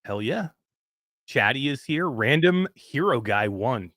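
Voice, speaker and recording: A man of fifty hosting a live show in casual chat, reading out greetings with excitement. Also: medium pitch, takes it slowly, dry recording, clean studio sound. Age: 50s. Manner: excited, animated chat shout-outs. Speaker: host.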